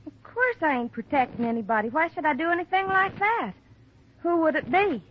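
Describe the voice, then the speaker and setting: High-voiced troubled country hired girl. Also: very animated, fairly quick. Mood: defensive. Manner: plain uneducated country speech. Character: troubled, defensive young ranch hired girl, about twenty. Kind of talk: 1950s radio drama acting. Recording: muffled old radio recording, faint noise.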